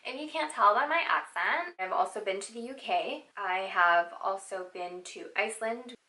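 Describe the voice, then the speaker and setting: A woman's voice: mid-range voice, animated, moderate pace, pushed and bright, reverberant, low-quality recording. A woman in her thirties from Southern California, chatting sassily and playfully through a get-to-know-me question video. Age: 30s. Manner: sassy, playful, exclamatory. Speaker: vlogger. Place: home, sitting down.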